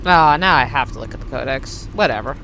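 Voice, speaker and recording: Woman, let's play host, chatty, animated intonation, rambling wry commentary, faint noise behind. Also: very deep voice, fairly quick. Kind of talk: casual game commentary. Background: game audio.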